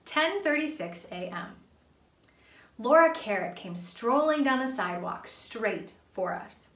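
Animated young woman reading a storybook. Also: on the high side, a little slow. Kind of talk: children's storybook read-aloud. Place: small indoor playroom. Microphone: camera a few feet away. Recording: roomy, distant camera microphone.

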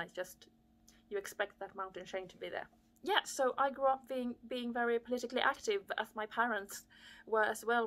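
A woman's voice: medium pitch, fairly bright tone. Woman from chile, thirties, reflective casual vlog talk, living room, camera close up. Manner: reflective, many fillers, gestures while talking. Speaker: vlogger. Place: living room with fireplace, indoors. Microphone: camera on a desk at close range.

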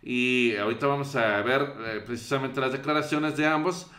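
A man's voice: medium pitch, moderate pace, pushed and bright. Young Mexican man commenting, roomy echo. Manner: hesitant, many fillers. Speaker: show host. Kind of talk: commentary over news clips. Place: small bedroom, indoors.

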